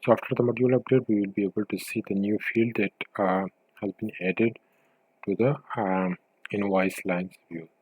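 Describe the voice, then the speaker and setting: A man presenting a screencast software tutorial as a developer, explaining step by step in halting, pieced-together phrases. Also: fairly low pitch, steady tone, breathy edge, rough recording.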